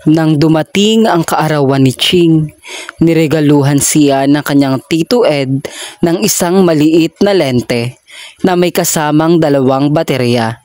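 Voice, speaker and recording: A person reading a children's story aloud in a measured, deliberate storytelling manner. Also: medium pitch, a little mellow, no echo.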